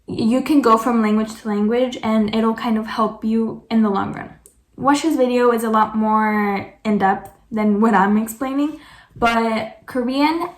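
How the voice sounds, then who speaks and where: A woman's voice: a little high, roomy sound. A woman vlogger answering viewer questions in casual chat, chatty and peppered with "like" and self-corrections.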